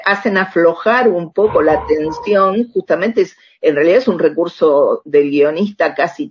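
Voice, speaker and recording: A woman with an Argentine accent in a conversational radio interview, speaking reflectively and haltingly, often checking with a tag question. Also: low voice, husky, lo-fi sound. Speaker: author interviewed about radio drama.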